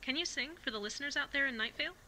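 A woman voicing a young intern who coaxes a robot in a soft, gently encouraging voice. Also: sits high, racing. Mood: coaxing.

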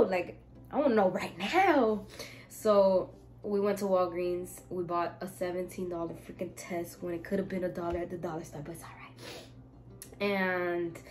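A woman in her twenties from Miami, telling a casual storytime from her bedroom, animated, swinging from loud exclaiming to hushed asides. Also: on the low side. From Miami, United States. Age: 20s. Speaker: vlogger. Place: bedroom, indoors. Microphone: camera in front, seated.

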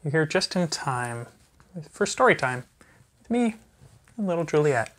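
Man in his thirties reading gently to baby. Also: on the high side, very animated, unhurried. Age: 30s. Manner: gentle parent talking to a baby. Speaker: physics teacher. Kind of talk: children's story reading with chatty asides. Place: small carpeted or wood-floored room at home, indoors. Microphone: phone camera a few feet away.

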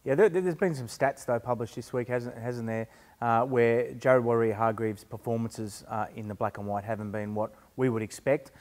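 A middle-aged man, a sports journalist, giving quick, hesitant, analytical opinions on a studio couch. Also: medium pitch, steady tone, darkish tone, long reverb tail. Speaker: journalist panelist. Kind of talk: casual sports chat.

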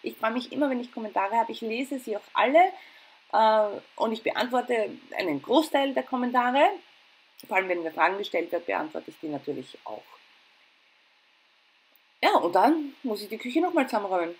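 A woman's voice: fairly high pitch, lively intonation, normal speed, rough recording. A middle-aged woman with an Austrian accent narrating her household routine in a chatty, informal, self-deprecating way. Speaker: home and cooking vlogger. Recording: clear background noise.

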